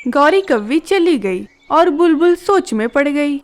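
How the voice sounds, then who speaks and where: A woman's voice: sits high, lively intonation, a little slow, slight background noise. A woman reading scripted narration for a children's cartoon story.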